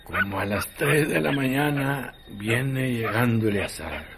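A man narrating a literary radio drama in a dramatic, measured manner. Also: medium pitch, expressive, gravelly, background noise.

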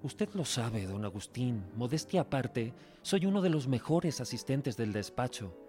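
An actor playing an earnest, proud young suitor in a radio drama, speaking formally. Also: male voice, medium pitch, very animated, unhurried, mellow, soft background music. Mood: hopeful, confident. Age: young adult.

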